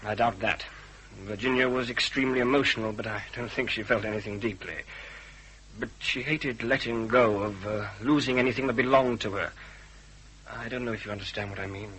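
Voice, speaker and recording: A man's voice: fairly low pitch, steady tone, a little fast, fairly bright tone, breathy edge, poor audio. A man playing an anxious, well-bred British husband in a radio drama, formal and stiff as he justifies himself with some hesitation. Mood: stiff, defensive. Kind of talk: radio detective drama acting.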